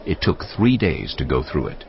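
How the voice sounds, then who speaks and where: A man's voice: very deep voice, big pitch swings, normal speed, gravelly, slight background noise. A man narrating an audio Bible in a solemn, dramatic storytelling manner. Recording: dull audio, faint cinematic music.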